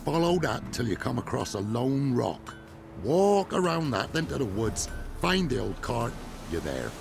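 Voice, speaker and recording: An actor playing a wary, grudging peasant in a fantasy game, with a British accent and a gravelly, rustic delivery. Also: male voice, medium pitch, big pitch swings, measured pace, over music. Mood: surly, grudging. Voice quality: creaky, gravelly. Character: grumpy, wary, slow-talking male village peasant. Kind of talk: video game voice acting.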